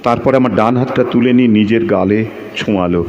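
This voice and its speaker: A man's voice: medium pitch, fast, darkish tone, a little hiss or hum, close to an everyday voice. An actor playing a man who fervently recounts a tender love in a Bengali audio drama. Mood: tender, wistful. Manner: theatrical, fervent storytelling. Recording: dull lo-fi audio. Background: prominent music.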